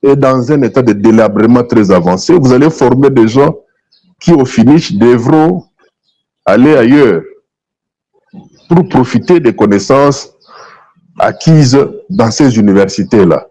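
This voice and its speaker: A man's voice: medium pitch, animated, unhurried, long pauses, warm tone, rough recording. A man from DR Congo with a Congolese accent, giving opinionated political views as a talk show guest, emphatic and repeating his phrases. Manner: emphatic, repeats phrases, switches between French and Lingala.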